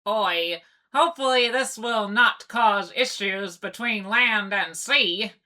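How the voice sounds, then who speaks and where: A woman's voice: medium pitch, slow pace, pushed and bright. A woman voicing a bossy, teasing pony sea captain with pirate-style speech in a cartoon comic dub. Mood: coaxing, assured.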